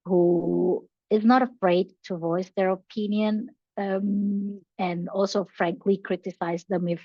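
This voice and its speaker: A woman's voice: mid-range voice, fairly even intonation, takes it slowly, no echo. A young woman from Indonesia, a podcast guest speaking over a video call, answering thoughtfully with frequent fillers and restarts. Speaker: podcast guest, learning and development professional. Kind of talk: casual interview answers. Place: bright room with large windows. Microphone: headphones on a video call. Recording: dull, lo-fi video call audio.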